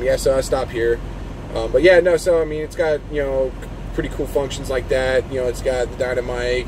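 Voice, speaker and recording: A man who drives trucks talks in a casual, unscripted vlog, rambling informally as he explains things from his parked truck. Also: mid-range voice. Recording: clear steady vehicle and engine-like noise.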